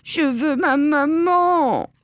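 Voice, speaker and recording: A small boy goat from a storybook, acted out by a woman reader, pitched very high and childish. He is whiny and demanding, with a lively, swooping delivery. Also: measured pace, no room sound. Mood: demanding, petulant. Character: bratty, demanding, tearful little male goat kid.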